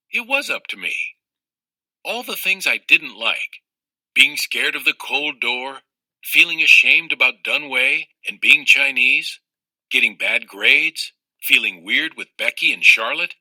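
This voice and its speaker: Bright, expressive male story reader. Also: mid-range voice, measured pace, no room sound, poor audio. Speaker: audiobook reader of a children's novel. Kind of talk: expressive read-aloud storytelling.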